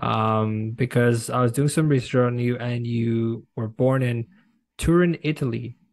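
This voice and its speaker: A man's voice: medium pitch, a little slow, a little mellow. A young man hosting a podcast, interviewing a guest over a video call in an eager way, with stumbling restarts and fillers. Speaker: podcast host. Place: home room.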